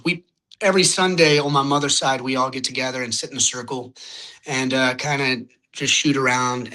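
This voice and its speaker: A young man with a Louisiana accent talks in a relaxed, unhurried way as a podcast guest. His voice is bright and clear at a middle pitch. He wanders through his thoughts and often stops to hunt for the right word. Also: rough recording. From Louisiana, United States. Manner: rambling, searching for words, many restarts. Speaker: podcast guest, singer-songwriter. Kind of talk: casual interview chat.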